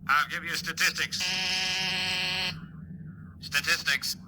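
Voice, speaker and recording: An actor playing a brisk, impersonal starship officer over a radio link in a 1950s science fiction radio drama, clipped and official. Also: male voice, a little high, level tone, noisy background. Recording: vintage radio recording with music behind.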